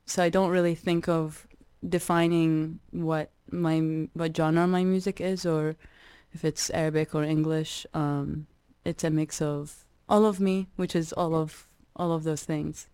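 A young adult woman from Iraq, a musician guest in a radio interview, talking reflectively with many pauses and restarts. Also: low voice, flat delivery, dark tone, smooth, no echo.

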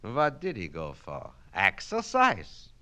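A man's voice: on the low side, wildly swooping pitch, slow pace, exaggerated voice. A man voicing a pompous immigrant night-school student who declaims in broken English. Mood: triumphant. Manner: broken grammar, declaiming and loud. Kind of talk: storybook reading.

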